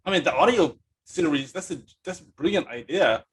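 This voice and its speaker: A man with a fairly high voice and a husky, rough edge hosts a casual podcast chat. He rambles and circles his thoughts haltingly, with a self-deprecating touch. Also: animated, low-quality recording. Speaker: podcast host.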